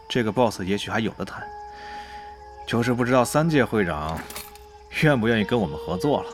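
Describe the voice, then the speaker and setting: An actor playing a composed, calculating guild leader in an audio drama, measured and diplomatic. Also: male voice, mid-range voice, close to an everyday voice.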